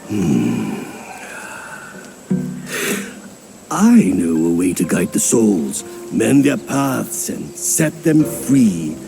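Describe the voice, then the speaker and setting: An actor playing a wise, solemn old wanderer who guides spirits in a fantasy game, speaking in measured, poetic phrases. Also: male voice, on the low side, huge pitch swings, creaky, music in the background, exaggerated voice. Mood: solemn, cryptic. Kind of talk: fantasy game cutscene dialogue.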